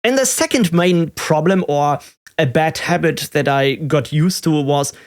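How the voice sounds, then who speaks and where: A man in his thirties speaking English with a German accent, his voice sitting a little higher than most men's. He talks candidly and thoughtfully, pausing on "uh" and "um" and restarting his sentences as he searches for words. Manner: reflective, with fillers and restarts. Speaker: tech YouTuber. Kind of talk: candid personal vlog.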